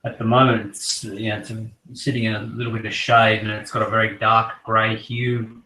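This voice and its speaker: A croaky-voiced man fighting off a cold talks casually and at length, his tone staying flat and level. He sits a little back from the microphone in an echoey room. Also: on the low side, normal speed, far from the mic, slight background noise. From Australia. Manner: relaxed, rambling collector talk. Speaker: co-host. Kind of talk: casual chat.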